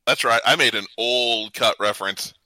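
A man's voice: medium pitch, moderate pace, bright, forceful tone, no room sound, low-quality recording. A man co-hosting a casual podcast, geeky in manner, reading out matchups and bantering with his co-hosts. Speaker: podcast co-host. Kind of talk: casual bantering panel chat.

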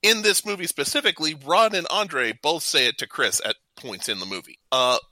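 Very bright-voiced man hosting casual trivia. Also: a little high, moderate pace, dry recording. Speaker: podcast host running a trivia game.

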